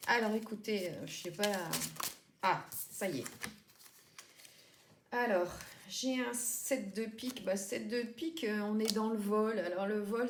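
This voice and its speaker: A woman giving a casual tarot reading, chatting and rambling with many fillers and self-corrections. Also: mid-range voice. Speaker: tarot reader. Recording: echoey room with clear background noise.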